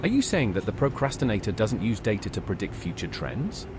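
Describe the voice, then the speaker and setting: A man's voice: on the low side, very animated, a little fast, background noise. A man playing an inquisitive adventurer in a fantasy game, with a plain-spoken, questioning manner. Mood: puzzled. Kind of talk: AI-voiced fantasy game dialogue.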